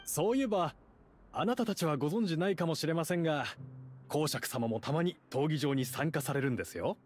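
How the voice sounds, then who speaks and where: A man's voice: fairly high pitch, a little fast, darkish tone, a little hiss or hum, lightly acted. A man playing a deferential society leader in a game, formal and eagerly polite toward his superior. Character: deferential, smooth-talking society leader, eager to please the duke. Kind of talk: game dialogue acting.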